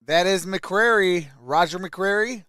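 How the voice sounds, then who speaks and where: A man's voice: sits high, slow pace, pushed and bright, clear, resonant tone. A man hosting a livestream and calling football plays on a close microphone. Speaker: livestream host calling play-by-play. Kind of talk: live reaction play-by-play commentary.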